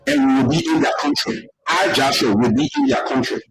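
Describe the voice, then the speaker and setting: A man, heard in a played clip, slowly making defiant, threatening declarations. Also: medium pitch, animated, poor audio.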